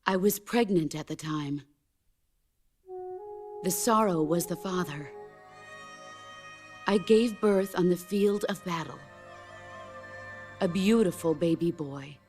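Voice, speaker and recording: An actress playing a stoic, battle-hardened middle-aged soldier and mentor in a game cutscene, speaking gravely and deliberately. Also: female voice, on the low side, darkish tone. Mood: solemn, pained. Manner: measured, deliberate, grave delivery. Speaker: video game character. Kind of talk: dramatic cutscene monologue. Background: orchestral music.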